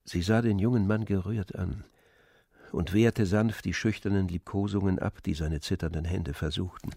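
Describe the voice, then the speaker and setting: A man narrating a literary radio drama in a measured, composed, knowing manner. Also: low voice, steady tone, vocal fry, warm tone. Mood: composed, intimate.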